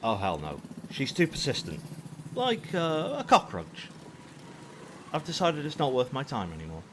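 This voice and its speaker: A man's voice: medium pitch, huge pitch swings, moderate pace, background noise. Cocky hitman, swooping sarcastic voice. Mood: dismissive. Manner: sarcastic, taunting. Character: cocky, callous male hitman for hire. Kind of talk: audio drama acting.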